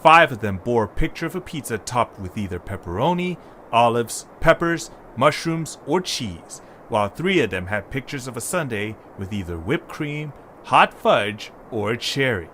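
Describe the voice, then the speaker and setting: Man narrating with animated intonation. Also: medium pitch, moderate pace. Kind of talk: scripted storybook narration. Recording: faint background noise.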